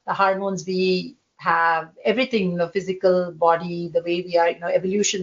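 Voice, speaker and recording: A woman with a loud voice, talking as a podcast guest in an emphatic way and laughing often. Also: medium pitch, highs cut off. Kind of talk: casual conversation.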